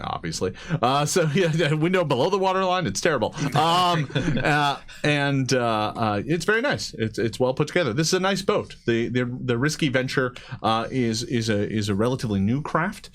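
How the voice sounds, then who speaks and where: A man narrating a tabletop game as game master, improvising haltingly and often repeating his words. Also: medium pitch, slight background noise.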